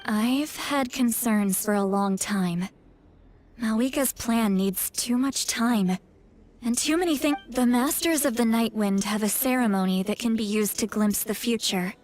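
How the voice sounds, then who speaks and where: Troubled, higher-voiced seer played by a woman. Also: a little slow, a little mellow, natural voice. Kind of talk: scripted video game voice acting.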